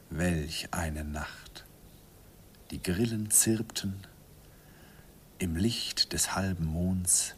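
A wistful, low-voiced narrator. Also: male voice. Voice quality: hushed and reflective. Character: earnest young German man recalling first love. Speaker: narrator and young German student Andi. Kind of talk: 1950s German radio drama narration. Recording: faint hiss of an old 1951 radio recording.

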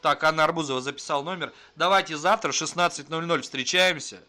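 A man with a fairly high voice hosts a livestream. He chats casually and in slang, swearing freely as he goes, with some music around his voice. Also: fast, bright, forceful tone. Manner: foul-mouthed, slangy. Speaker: livestream host making prank calls. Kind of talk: casual stream chat.

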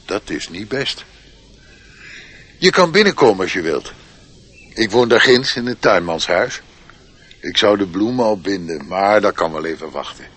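An actor playing a kindly, gentle, hospitable gardener in a radio play. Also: male voice, low-pitched, animated, normal speed, pauses often, slightly rough, exaggerated voice.

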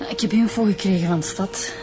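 An actress playing a factual, businesslike Flemish police inspector in a radio drama. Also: female voice, medium pitch, fairly quick, close to an everyday voice. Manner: factual, orderly.

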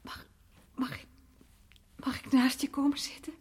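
Breathy, grieving, tender young-hearted lady. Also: high voice, little pitch movement, in a whisper. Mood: anxious. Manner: halting, repeating words. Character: grieving female reporter, tender, hesitant, loves condemned man. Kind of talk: radio play acting.

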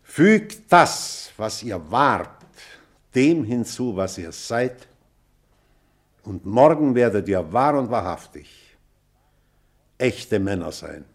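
A man's voice: lowish voice, very animated, slow pace, long pauses, heavily acted. An older man playing an earnest, fatherly old schoolteacher in a radio play, speaking solemnly like a preacher. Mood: solemn, exhorting. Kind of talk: radio play acting, oratorical speech.

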